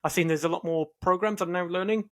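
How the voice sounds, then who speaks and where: A man's voice: sits high, steady tone, quick pace. A man co-hosting a podcast, chatting informally and agreeably with short interjections. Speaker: podcast co-host. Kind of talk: casual podcast chat.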